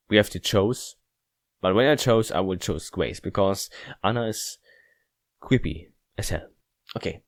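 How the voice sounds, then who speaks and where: Low-voiced, halting man commentating on a game. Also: no echo, studio quality. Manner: halting, searching for words. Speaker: let's play host.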